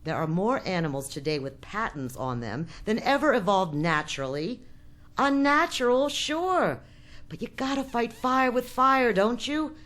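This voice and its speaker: A woman playing a tough, shrewd, impatient boss with shady deals in a science fiction radio drama, forceful and sardonic. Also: a little high, expressive, unhurried. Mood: scornful, insistent.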